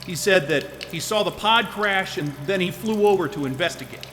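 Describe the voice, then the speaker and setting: Frazzled loner, male, grumpy, profane, high bright voice, reverberant. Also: lively intonation, moderate pace. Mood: strained. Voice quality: blunt and profane. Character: stressed isolated man, grumpy, blunt, swearing. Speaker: lead character. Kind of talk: audio drama acting.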